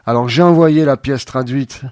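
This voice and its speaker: A man guesting on a radio show, answering thoughtfully with frequent pauses and fillers. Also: medium pitch, a little mellow, no echo, clean studio sound. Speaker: playwright guest. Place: radio studio.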